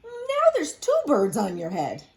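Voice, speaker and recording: A woman voicing a cheerful, excitable pig from a storybook in a high, drawn-out, singsong voice. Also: full character voice. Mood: delighted.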